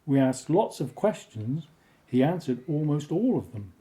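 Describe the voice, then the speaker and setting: Male actor: blunt, opinionated settler for radio play. Very dark, mellow tone, pitched on the high side. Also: sing-song, a little slow. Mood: matter-of-fact. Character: opinionated, wry male settler living apart with abductees. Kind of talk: audio drama acting.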